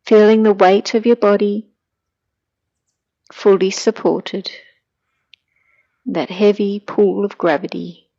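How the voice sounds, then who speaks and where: A middle-aged woman leading a guided meditation in a gentle, soothing, hushed manner that turns breathy at times. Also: medium pitch, steady tone, slow pace, pauses often, lo-fi sound.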